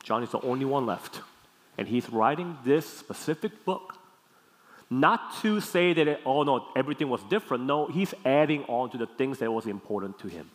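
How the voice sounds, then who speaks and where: Male pastor, Korean accent, mid-pitched voice. Deliberate, emphatic teaching delivery, recorded with long hall reverb. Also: expressive. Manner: didactic, deliberate phrasing with emphatic repeats and check-in questions. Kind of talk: teaching sermon. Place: large hall, speaking to a congregation.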